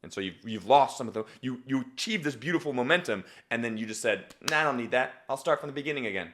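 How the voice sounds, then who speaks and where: An energetic male comedy coach talking over a stand-up clip. His voice is bright and forceful at an ordinary pitch. He keeps jumping into acted-out deliveries and piles up quick yeahs. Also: a little hiss or hum. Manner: animated, acts out alternative deliveries; many repeated yeahs and restarts. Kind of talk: critique commentary over a set.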